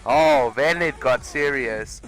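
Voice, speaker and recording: A man's voice: mid-range voice, slow, a bit bright. A young man, telling a personal story casually with exaggerated comic emphasis, over music. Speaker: video creator.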